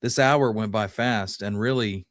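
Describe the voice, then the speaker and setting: Low-pitched man casually telling history stories. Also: unhurried, fairly bright tone, dry recording, clean studio sound. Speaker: podcast co-host. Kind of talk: casual conversational history storytelling.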